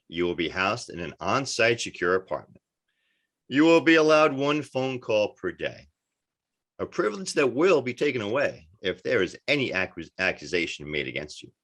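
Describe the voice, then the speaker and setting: A man acts a prison warden in a script read. His voice is deep and his delivery measured. He sounds stern, formal and fully official. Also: a bit bright. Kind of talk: screenplay table read.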